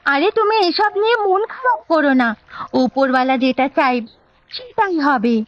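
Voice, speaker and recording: A woman's voice: high voice, big pitch swings, normal speed, dull audio. A woman voicing a caring village wife in a Bangla cartoon, coaxing and matter-of-fact. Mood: consoling.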